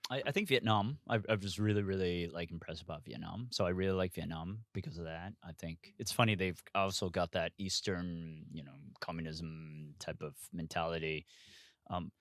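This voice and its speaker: A man from Hong Kong speaks as an investor guest in a relaxed interview. His voice sits low, and the sound is dry and close, with no room around it. He talks candidly, circling his points with asides and restarts. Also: normal speed, no echo. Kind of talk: casual interview conversation.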